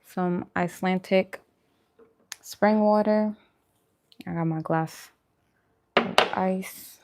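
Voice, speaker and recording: A woman's voice: mid-range voice, fairly even intonation, slow pace, heavy background noise. A woman hosting a food channel, chatting casually and informally with viewers during a mukbang livestream.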